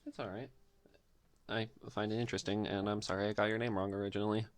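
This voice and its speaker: A man's voice: lowish voice, level tone, quick pace, clear, resonant tone. A man hosting a casual podcast interview, politely asking questions and encouraging his guest.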